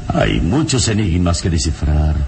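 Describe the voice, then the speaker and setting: Very deep-voiced solemn hero. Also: male voice, sing-song, music in the background, heavily acted. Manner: solemn, measured. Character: wise, calm heroic male adventurer and mentor. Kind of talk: old radio drama acting. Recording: vintage radio serial with background noise.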